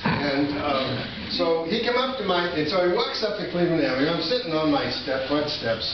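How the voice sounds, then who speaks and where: A man's voice: on the high side, lively intonation, brisk. Older guy w/ new doing a poetry reading, noisy muffled recording, kinda reminiscing. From Massachusetts, USA. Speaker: poet. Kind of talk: live poetry reading with informal anecdotes. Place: indoor college reading venue, recorded from a distance. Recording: distant, echoey room recording with noticeable noise.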